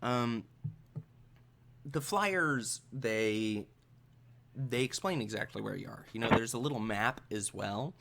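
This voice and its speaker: A game master with a mid-pitched voice narrates an improvised tabletop scene. The delivery is conversational and hesitant, feeling out each description as it goes. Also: takes it slowly, gravelly, long pauses, background noise.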